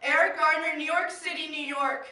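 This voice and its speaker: A young woman performing spoken word poetry with solemn, impassioned, measured phrasing. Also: high-pitched, steady tone, bright, forceful tone. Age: teen or young adult. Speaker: slam poet. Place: live poetry event in a room. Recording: echoey room, distant mic, faint noise.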